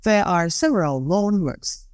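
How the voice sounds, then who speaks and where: A Thai-accented teen reads a podcast script with a lively delivery. The pitch swings widely. The recording is dry and close. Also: unhurried, clean tone, no echo. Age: late teens. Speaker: co-host.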